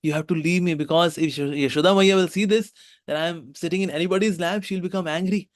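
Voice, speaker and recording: A man's voice: high-pitched, fast talker, no echo, studio quality, pitched above the performer's own voice, lightly acted. A man doing a sweet small boy god. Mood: gentle.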